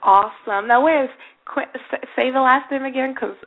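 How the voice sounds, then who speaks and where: A woman hosts in a casual, gushing, enthusiastic way. Her voice is fairly high, with a clear streak of vocal fry running through it. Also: moderate pace, phone-quality sound. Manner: enthusiastic, effusive. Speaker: radio show host. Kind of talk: casual interview chat.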